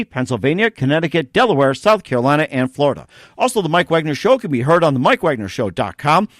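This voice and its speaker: A man's voice: a little high, no echo, studio quality. A man hosting a radio-style interview show, moving between sponsor reads and questions. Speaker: show host.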